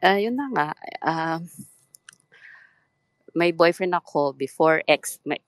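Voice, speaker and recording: A Filipino woman in her thirties with a thick Filipino accent, telling her story with hesitant, halting speech and mixing English with Tagalog. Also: deep voice, dry recording. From Philippines. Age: 30s. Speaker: guest seeking advice. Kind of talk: casual chat.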